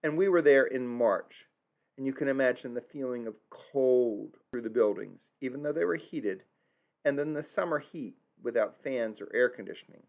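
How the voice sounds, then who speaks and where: A man's voice: medium pitch, normal speed, gravelly. A man narrating his travel video in an earnest, reflective way, stumbling now and then over German place names. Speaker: travel vlogger narrating a tour.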